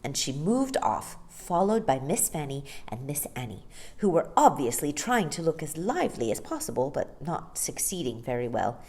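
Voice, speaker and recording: A woman narrating a children's story in an expressive storytime style. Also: low-pitched, normal speed. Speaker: storytime reader.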